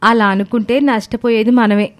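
A woman's voice: a little high, steady tone, moderate pace, lightly acted. A woman playing a shrewd, bossy young daughter-in-law in a family moral story, nagging and commanding. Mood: bossy, urging.

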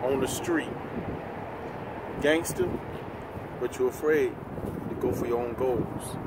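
A man's voice: medium pitch, slow pace. A man giving an emphatic, slangy motivational talk as a vlog guest, preachy and fond of repeating himself. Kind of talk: motivational casual chat. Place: outdoors beside a parked semi truck. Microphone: standing back from handheld camera. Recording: very loud outdoor wind and traffic noise.

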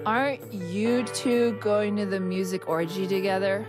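A woman's voice: mid-range voice, steady tone, unhurried, loud music behind the voice, voice pushed up, natural voice. A woman voicing a brash, scornful young treasure-hunting woman in a visual novel, bratty and blustering. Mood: brash, needling. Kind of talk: visual novel character dub.

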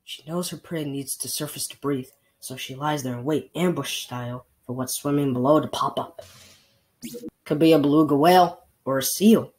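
A woman voicing a knowledgeable, steady young male wildlife adventurer in an amateur cartoon fan dub, explaining things in a measured way. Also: low voice, a little hiss or hum, close to an everyday voice, low-quality recording. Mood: focused. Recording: music behind the voice.

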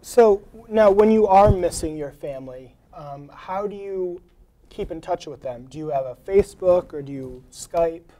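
Mellow-voiced young male talk show host. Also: high-pitched, lively intonation, moderate pace, rough recording. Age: young adult. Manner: friendly, scripted questions with fillers. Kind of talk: studio interview. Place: small college TV studio. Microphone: lapel mic.

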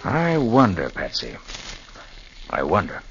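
An actor playing a calm, probing detective in a 1940s radio drama, brisk and questioning. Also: male voice, deep voice, gravelly, over loud music, heavily acted. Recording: dull old radio recording with faint hiss.

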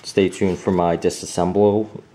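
Slow, low-voiced man with halting speech. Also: little pitch movement, mellow. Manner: hesitant, many fillers and restarts. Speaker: home fixer-upper showing a manual. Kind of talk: casual off-camera walkthrough.

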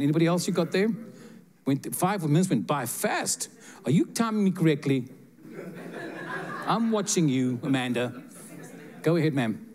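An older man preaches to a seated congregation in a church sanctuary, talking straight to individual listeners. His handheld mic picks up a voice of middling pitch that moves between earnest prophecy and light teasing jokes. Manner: animated, joking, direct address to listeners. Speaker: guest preacher giving prophetic words.

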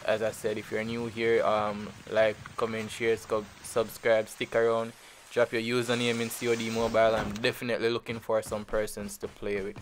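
A man's voice: lowish voice, monotone, normal speed, a little hiss or hum. A man with a Jamaican accent giving loose, rambling commentary over his mobile shooter gameplay, full of fillers and repeats. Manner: laid-back and rambling, with many fillers and repeats. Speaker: gaming channel host.

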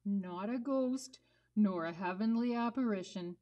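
A woman playing a sober, earnest disciple puppet telling the Easter story to children in a measured way. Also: mid-range voice, highs cut off, close to an everyday voice. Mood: reverent.

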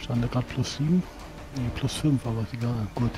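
A man's voice: mid-range voice, brisk. Male player with music behind him. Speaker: tabletop role-playing player. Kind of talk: casual game chat.

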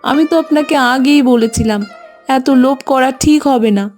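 A woman's voice: high voice, moderate pace, darkish tone, natural voice. A woman voicing a worried, sensible landlord's wife in a cartoon fairy tale, respectful and cautioning. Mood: worried, reproving. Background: music.

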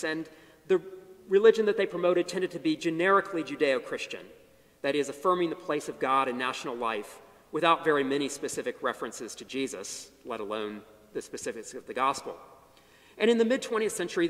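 Middle-aged man lecturing in a reverberant chapel. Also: fairly bright tone. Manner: measured, emphatic phrase starts falling to low endings. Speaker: history lecturer. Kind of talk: academic lecture. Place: large chapel stage. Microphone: podium mic. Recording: long hall reverb.

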